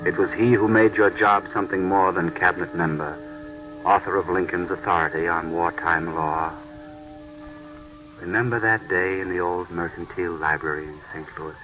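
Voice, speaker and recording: Music plays under a very low male narrator on a muffled old radio recording. The actor He speaks intimately and solemnly, as if walking a listener through memories in a period drama. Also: close to an everyday voice. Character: solemn, intimate narrator recalling a woman's past to her. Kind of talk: 1940s radio drama narration, second person.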